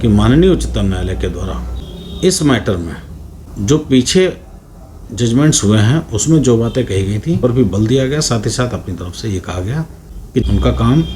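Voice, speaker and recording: A man's voice: mid-range voice, darkish tone, slight background noise. A man giving an explanatory legal lecture in a didactic manner, restating points for emphasis and mixing in English legal terms. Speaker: law lecturer.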